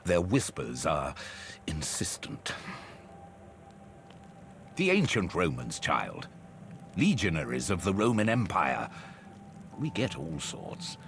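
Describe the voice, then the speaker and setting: Grave old game sage, extremely low, slow, gravelly, solemn storytelling. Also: male voice, full character voice. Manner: measured, ominous.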